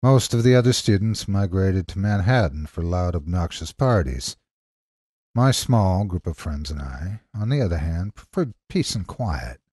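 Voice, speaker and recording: Husky, expressive man narrating horror. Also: sits low, normal speed, no echo, clean studio sound. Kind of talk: scripted dramatic horror narration.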